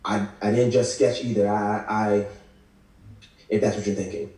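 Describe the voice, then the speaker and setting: Hopeful, guarded male ex-con acted by a man, lowish dark voice, naturalistic table read, roomy remote audio. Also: fairly even intonation, moderate pace, natural voice. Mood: guarded, earnest. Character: despondent but hopeful male ex-con, aspiring architect. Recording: echoey room, distant video call mic.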